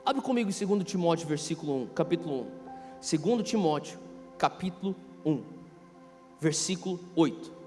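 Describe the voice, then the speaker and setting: A Brazilian man in his thirties preaching a sermon, emphatic, repeating key phrases and swinging between hushed and loud delivery. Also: fairly high pitch, lively intonation, normal speed. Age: 30s. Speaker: pastor preaching. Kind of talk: impassioned sermon. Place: large reverberant church hall. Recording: reverberant hall PA, music underneath. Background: music.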